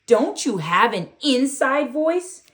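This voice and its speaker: Woman putting on a loud, taunting schoolboy bully. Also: sits high, very animated, slow, pitched above the performer's own voice, heavily acted. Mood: mocking, annoyed. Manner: loud, mocking, blunt.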